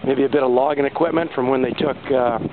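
A man's voice: mid-range voice, fairly even intonation, quick pace. A man giving casual, rambling first-person vlog narration outdoors, hesitant and understated in manner. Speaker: amateur explorer filming a vlog. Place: outdoors on an exposed seaside catwalk. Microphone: handheld camera microphone. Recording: tinny handheld camera mic, heavy wind noise. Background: loud wind.

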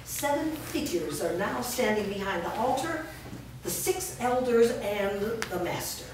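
A woman's voice: medium pitch, animated, unhurried. Female narrator for a staged play reading, reading directions, heard far off in a noisy, echoing room. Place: large bare indoor room, staged reading before a small audience. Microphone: distant camera.

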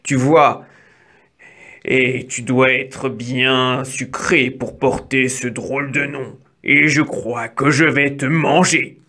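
A narrator doing a husky, greedy big bad wolf. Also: medium pitch, steady tone, slow, sharp tone. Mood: menacing. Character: greedy, menacing male ogre wolf, hungry bully.

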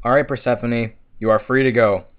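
A man's voice: mid-range voice, fairly even intonation, brisk, phone-quality sound, slight background noise, lightly acted. A man doing a sly, gloating underworld lord. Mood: sly, casual. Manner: theatrical, gloating. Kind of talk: amateur cartoon puppet show acting.

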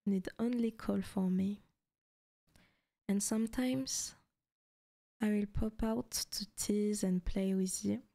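Soft, teasing demon girlfriend acted by a woman. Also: medium pitch, fairly even intonation, slow, vocal fry, long pauses. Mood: teasing, affectionate. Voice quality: soft, often breaking into whispers. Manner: teasing, coaxing, possessive. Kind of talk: intimate ASMR roleplay.

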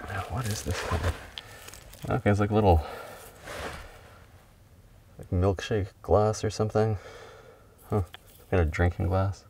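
Low gravelly guy digging outside, mic a bit far, faint noise. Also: a little slow, long pauses. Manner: calm, matter-of-fact, reading out finds. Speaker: bottle digger. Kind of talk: narrating a dig on camera. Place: outdoors at an excavation pit.

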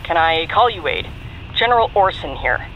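An actress playing a brisk National Guard general in an audio drama, direct, controlled and authoritative. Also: female voice, lowish voice, sing-song, highs cut off, a little hiss or hum. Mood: firm, grave. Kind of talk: audio drama dialogue over radio.

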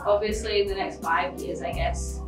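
A woman's voice: medium pitch, steady tone, normal speed, reverberant, far from the mic, music in the background. A teenage girl in a casual interview, chatty, giggly and a little unsure. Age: teens.

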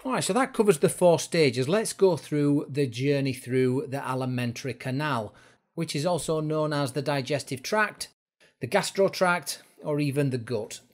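A man's voice: on the high side, moderate pace. A man narrating an educational explainer as an instructor, going through it step by step in informal words. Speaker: fitness instructor presenting a course.

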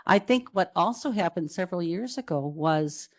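A woman's voice: on the low side, expressive, moderate pace. A woman speaking informally as a committee chair in a meeting, conversational and repeating words for emphasis. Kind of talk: informal spoken report in a meeting. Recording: public meeting room recording.

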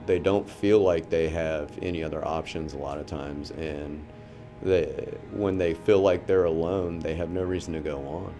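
A man in his thirties from North Carolina, a military veteran, giving a halting, somber testimonial with pauses and restarts. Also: very deep voice, steady tone. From North Carolina, USA. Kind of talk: documentary testimonial for an advocacy ad. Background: soft music bed.

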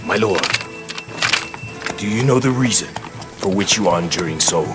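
An actor playing a loyal, frank samurai retainer in an English game dub, formal, measured and earnest. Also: male voice, deep voice, expressive. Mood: urgent counsel. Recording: dramatic music behind the voice.

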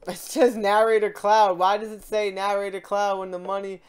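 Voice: mid-range voice, level tone, normal speed, faint music behind. Informal tutorial host thinking aloud. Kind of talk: unscripted software tutorial. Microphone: voice-over for a screen recording.

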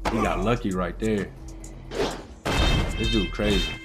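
A man's voice: fairly low pitch, unhurried, loud music behind the voice. A young American man giving casual, slangy reaction commentary as a gaming video host. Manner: slangy, informal.

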